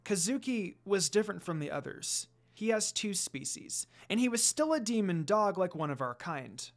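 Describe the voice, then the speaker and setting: A reader voicing a gentle demon noblewoman and mother in a fan fiction story, speaking in a measured, courteous way. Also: mid-range voice, sing-song. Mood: somber.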